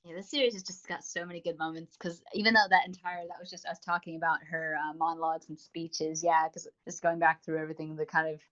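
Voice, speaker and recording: A man's voice: a little high, level tone, fast talker, few pauses, sharp tone. Chatty, rambling podcast co-host, dull lo-fi man. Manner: gushing, rambling, self-mocking, swears casually. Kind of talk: casual chat, reviewing audio roleplay series.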